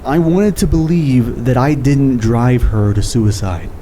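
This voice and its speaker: A man playing an excitable, argumentative skeptic in an audio drama, stammering and exasperated in an informal way. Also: mid-range voice, a little slow, slight background noise, lightly acted. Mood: regretful.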